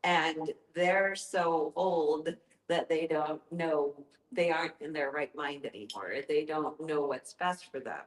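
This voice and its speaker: A woman's voice: sits low, takes it slowly. A woman moderating a group discussion, posing questions conversationally and searching for her words. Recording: roomy echo.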